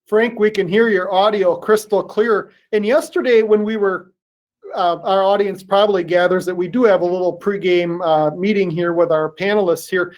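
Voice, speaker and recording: A livestream host reads out a prepared introduction and then asks a guest questions. The delivery is smooth and steady, at a middle pitch. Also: moderate pace.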